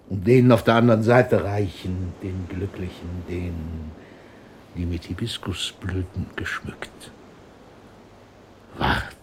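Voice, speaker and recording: An older man acting in a radio play as an ailing old poet, grave and lyrical, declaiming and murmuring in halting phrases. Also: on the low side, warm tone, a little hiss or hum. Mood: solemn, lyrical.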